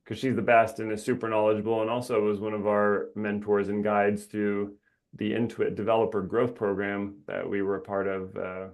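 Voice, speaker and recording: A man's voice: fairly low pitch, level tone, normal speed, darkish tone. A man hosting a webinar in a casual, chatty way, upbeat and rambling, laughing often, heard through a video call mic. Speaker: webinar host.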